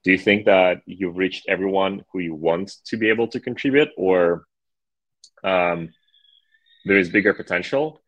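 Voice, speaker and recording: A man moderating an online panel discussion over a video call, speaking haltingly with frequent filler sounds and restarts. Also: sits low, fairly bright tone, dry recording, muffled recording.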